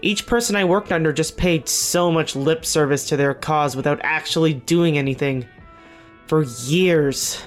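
An actress playing a middle-aged government official in an audio drama, speaking candidly with wry, hesitant phrasing. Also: female voice, deep voice, unhurried. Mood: wry, determined. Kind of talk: naturalistic audio drama dialogue. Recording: music underneath. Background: background music.

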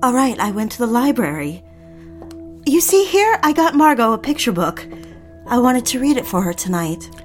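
A woman's voice: a little high, very animated, normal speed. An actress playing an anxious, submissive young wife in a dramatic audio fiction, hesitant and pleading, with music behind her. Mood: defensive. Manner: hesitant, pleading, repeats herself. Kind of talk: dramatic audio fiction, dialogue and confessional narration.

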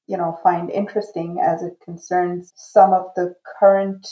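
A woman in her fifties from Iran, whose first language is Urdu, giving a measured and reflective interview answer as a guest professor. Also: mid-range voice, roomy sound, muffled recording. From grew up in Iran. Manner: slow, measured and reflective, with fillers.

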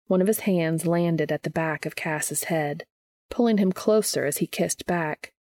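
A woman narrating a scripted story as a fan fiction reader, with a husky voice. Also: fairly low pitch, measured pace, darkish tone, no echo.

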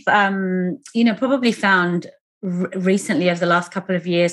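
A woman's voice: lowish voice, a bit bright. A woman hosting a podcast, interviewing her guest in a conversational style. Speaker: podcast host.

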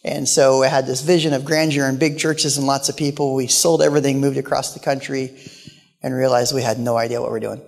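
A man preaching as a guest pastor with a quiet voice, telling stories with humorous asides in a church. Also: mid-range voice, level tone, a little fast, creaky. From Portland, Oregon. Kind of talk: sermon. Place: church sanctuary. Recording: live church hall with long reverb.

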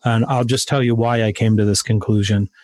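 A man's voice: on the low side, steady tone, brisk, a little mellow, no room sound. Emphatic male guest with dry sound. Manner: emphatic, motivational, repeats key points. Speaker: guest, sales author. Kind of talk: interview conversation.

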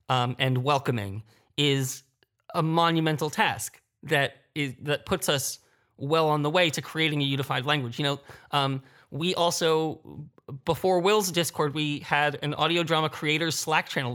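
A man's voice: fairly high pitch, measured pace, fairly bright tone. A man speaking as a creative panelist in a roundtable discussion. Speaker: panelist, audio drama creator.

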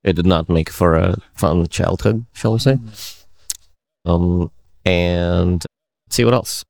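Deep gravelly man. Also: slow, a little mellow, no room sound, clean studio sound. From Uzbekistan. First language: Russian. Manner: hesitant, wry understatement. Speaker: meetup attendee. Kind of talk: casual group conversation. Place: indoor event space.

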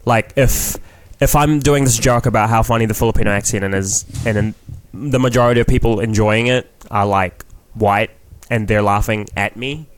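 A man's voice: fairly low pitch, steady tone, moderate pace, a little hiss or hum, poor audio. A man from New Zealand with a New Zealand accent, a guest comedian talking frankly and at length on a casual podcast, swearing freely and restarting his sentences.

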